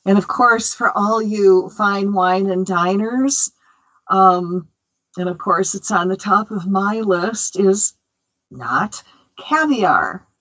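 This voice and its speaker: A woman's voice: medium pitch, takes it slowly, fairly bright tone, poor audio. An American woman hosting a spiritual radio show, talking in a chatty, opinionated way with many fillers. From United States. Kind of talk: casual spiritual radio talk.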